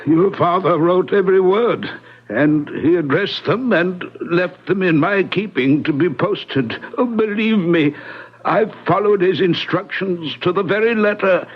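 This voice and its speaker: An actor playing a loyal, elderly manservant in an old-time radio drama, pleading and deferential in a halting manner. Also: male voice, high-pitched, breathy edge. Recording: dull lo-fi radio drama recording.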